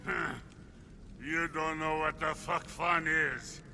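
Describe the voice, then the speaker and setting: Bright, high-pitched sadistic cult enforcer. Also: male voice, level tone, slow pace, gravelly, big-room sound, a little hiss or hum, heavily acted. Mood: gloating menace. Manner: menacing, cursing, barking orders. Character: sadistic, volatile, foul-mouthed male cult enforcer bullying underlings. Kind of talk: dramatic video game acting.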